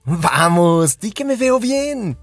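Man acting an excitable, scheming teenage boy. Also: high voice, slow, faint music behind, exaggerated voice. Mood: playful. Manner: eager, wheedling, expressive. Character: excitable, scheming, upbeat teenage hero student. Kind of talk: comic fandub voice acting.

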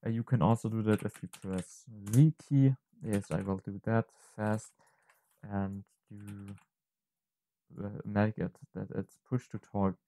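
A man presenting an unscripted software tutorial, explaining step by step in a halting, hesitant way. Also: lowish voice, steady tone.

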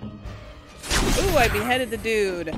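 Animated high-voiced woman playing a video game. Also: very slow. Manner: expressive, reacting aloud and talking to game characters. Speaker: gamer narrating a role-play let's play. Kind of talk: casual gameplay commentary. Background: game music behind the voice.

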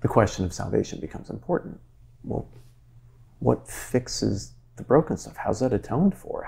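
A man in his forties interviews a guest with a gravelly, somewhat husky low voice. He talks earnestly and thinks out loud as he frames his questions, recorded close in a quiet library room. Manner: earnest, searching, animated with hands. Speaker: interviewer, show host. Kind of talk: conversational interview. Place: wood-panelled library with bookshelves.

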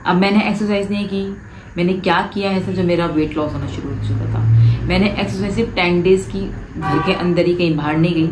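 Woman, thirties, lowish voice, echoey living room, direct coaching. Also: noisy background. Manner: animated, gestures a lot with both hands; direct, coaxing advice to the viewer. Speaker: vlogger. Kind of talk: casual advice vlog. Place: living room, seated on a sofa.

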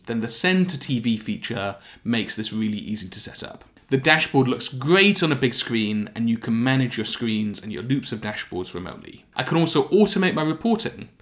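A man in his thirties giving a scripted explainer, step by step, with deliberate emphasis. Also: mid-range voice, a bit bright. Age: 30s. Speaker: presenter of a software tutorial. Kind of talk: scripted explainer narration to camera.

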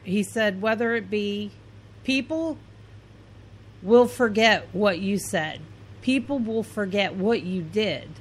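A woman's voice: medium pitch, slight background noise. Woman podcaster reading out an interview, earnest and plain, a few uhs here and there. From Indiana, US. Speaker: podcast host.

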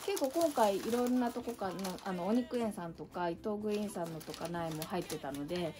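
A woman's voice: mid-range voice, expressive, fairly quick, background noise. Chatty middle-aged woman showing her plants. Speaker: succulent channel vlogger. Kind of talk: casual chat. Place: indoor entryway with a shelf.

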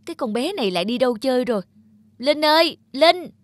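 A woman acting an anxious, strict pregnant mother in an animated moral tale, with an urgent, expressive delivery. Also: sits high, a little fast, no room sound. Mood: urgent calling.

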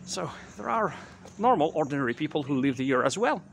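A man in his thirties guiding a casual walking tour on a quiet residential street, talking with many fillers and restarts into a handheld camera mic. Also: on the high side, big pitch swings, a little fast. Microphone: handheld camera mic while walking. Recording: clear outdoor street noise.

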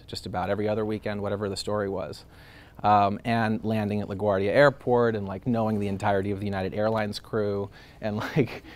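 Low-voiced man rambling with many fillers. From Greece. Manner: rambling, many fillers and restarts, laughs often. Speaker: interviewee. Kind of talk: casual interview.

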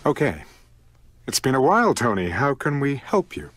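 An actor playing a slick, evasive bank manager in a video game, smooth and placating with some nerves. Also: male voice, medium pitch, big pitch swings, normal speed, a bit bright. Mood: smooth, polite. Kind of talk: video game cutscene acting.

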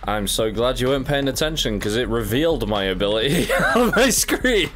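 A man's voice: medium pitch, very animated, normal speed, over music. A man in his thirties hosting a casual gameplay stream, joking and laughing often while he has a cold. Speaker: gaming channel host.

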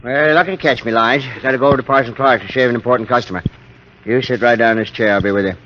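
A man's voice: medium pitch, very fast, close to an everyday voice. A man playing a cheerful small-town colonial barber in a 1940s radio drama, folksy and salesmanlike. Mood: chatty, persuasive. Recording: muffled, noisy 1940s radio recording.

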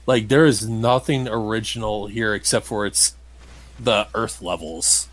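A man's voice: lowish voice, unhurried, poor audio. A man giving casual game commentary as he plays, grumbling and critical with some mild swearing. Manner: grumbling, critical, mildly profane. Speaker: let's play host playing the game.

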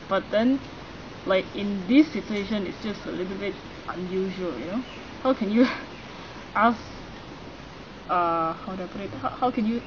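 Young woman, filler-heavy outdoor answers. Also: mid-range voice. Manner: searching, filler-heavy talk. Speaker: interviewee. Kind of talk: casual interview answer. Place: outdoor garden yard. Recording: lo-fi outdoor sound with noise.

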